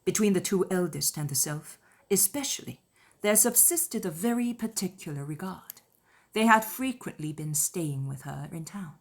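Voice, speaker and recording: A woman reading scripted literary narration as an audiobook narrator, in a measured, formal manner. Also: fairly low pitch, animated.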